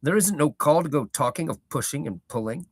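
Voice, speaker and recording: A man's voice: a little high, animated, fairly quick, husky, no room sound, studio quality, voice pushed up. A man voicing a garrulous old male hobbit gardener who rambles in a folksy, opinionated way. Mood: scolding.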